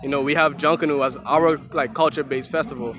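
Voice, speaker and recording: A young man from the Bahamas talks quickly in a slightly high voice. He is laid-back and loose, answering a casual street interview. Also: steady tone. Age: young adult. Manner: casual, laid-back, lots of likes and you knows.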